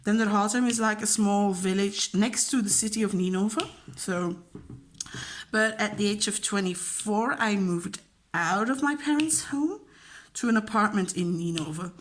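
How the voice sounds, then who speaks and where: A woman's voice: medium pitch, unhurried, slight background noise. A young woman from Belgium with a Flemish accent, Dutch her first language, chatting casually and rambling with fillers and laughs as she answers questions about herself. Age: young adult. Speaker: vlogger and teacher.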